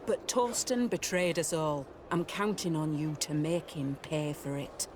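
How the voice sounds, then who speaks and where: High-voiced, earnest officer, noisy outdoors. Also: male voice, measured pace. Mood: stern, supportive. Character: loyal, principled Guard officer. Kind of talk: dramatic video game dialogue. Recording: clear outdoor ambient noise behind.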